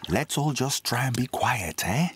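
A man voicing a calm, apologetic animal in a children's cartoon. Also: mid-range voice, measured pace, slightly rough, heavily acted. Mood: apologetic, soothing.

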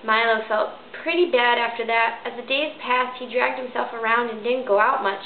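A woman in her thirties reading a children's picture book aloud at home, lively and expressive. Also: moderate pace, a little hiss or hum. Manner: lively, expressive read-aloud with high character voices. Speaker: storybook reader. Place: bedroom at home, indoors. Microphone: camera in front. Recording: echoey, phone-like thin sound.

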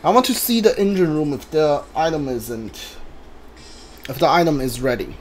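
A video game player giving casual live playthrough commentary, thinking aloud in a halting way with mumbled asides. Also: medium pitch, lively intonation, a little hiss or hum. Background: video game music.

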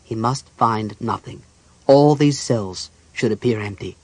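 A man playing a cold, controlled, scheming archmage in a fantasy audiobook, speaking in a measured, formal, commanding way. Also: lowish voice, no echo.

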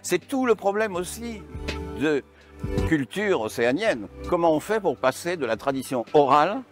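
A man's voice: fairly high pitch, very animated, moderate pace. A man in his sixties from Brittany, an author speaking reflectively in an interview. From Brittany, France. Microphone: lapel mic. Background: instrumental music.

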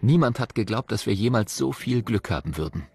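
A man's voice: on the low side, expressive, a little fast, creaky, a little mellow, husky, exaggerated voice. A man voicing a serious senior police lieutenant in scripted, dubbed documentary testimony. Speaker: documentary voice-over for an interviewee.

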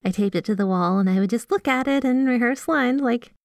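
A woman's voice: a little high, a little fast, no echo, clean studio sound, close to an everyday voice. A woman playing a sweet, obsessively devoted yandere girlfriend in a scripted ASMR roleplay, gushing and bashful as she speaks to the listener. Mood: embarrassed. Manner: gushing, giggly, teasing, bashful asides.

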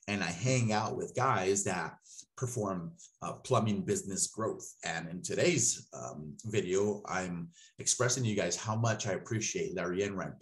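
Man, 30s, somewhat low pitch, casual coaching tone, screen-share tutorial, small room desk recording. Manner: casual, coaching, frequent "okay?" and "right?". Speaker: marketing consultant for plumbers.

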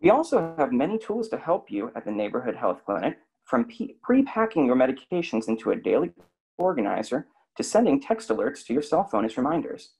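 A man's voice: medium pitch, very animated, fairly quick, gravelly, darkish tone, husky, poor audio. A man reading a scripted health lesson for a clinic slideshow, explaining steadily and putting questions to the listener.

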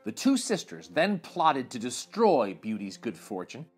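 A man in his thirties reading a fairytale aloud to children in expressive narration. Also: mid-range voice, measured pace, faint music behind. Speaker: children's story reader. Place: small furnished room indoors.

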